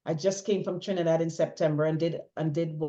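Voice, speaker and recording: A woman's voice: low-pitched, steady tone, brisk, dark tone. Talkative middle-aged woman, dull lo-fi sound. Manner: talkative, emphatic, repeats phrases for stress. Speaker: interview guest, bereaved mother. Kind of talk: personal testimony in conversation.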